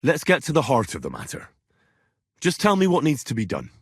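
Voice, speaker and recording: An actor playing a respectful, earnest young vagabond who poses as a learned medieval healer in a video game, speaking in measured, formal address. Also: male voice, mid-range voice, wildly swooping pitch, husky, dry recording, clean studio sound, exaggerated voice. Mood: plain, businesslike. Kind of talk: scripted video game dialogue.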